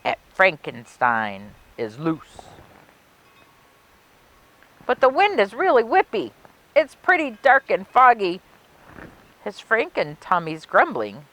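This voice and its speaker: A woman reading a children's picture book aloud as a library story time host, with expressive, deliberate storytelling. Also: mid-range voice, rough recording.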